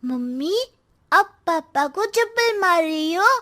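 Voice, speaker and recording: Woman as a cheeky high-pitched little schoolboy. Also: animated, slow pace, fairly bright tone, full character voice. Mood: mock innocent. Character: cheeky, lazy young schoolboy talking back. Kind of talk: Hindi cartoon story acting.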